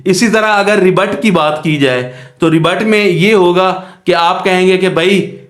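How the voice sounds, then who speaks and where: A man's voice: sits high, measured pace. A man with a Pakistani accent teaching law in an explanatory lecture, posing questions and answering them himself in a didactic way. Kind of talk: explanatory lecture with worked examples. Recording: echoey room.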